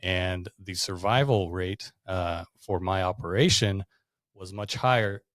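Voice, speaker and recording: A man's voice: deep voice, unhurried, a bit bright, no echo. A young man from Russia, a podcast guest, telling his story in a chatty way with lots of "like" and "you know.". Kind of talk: casual storytelling interview.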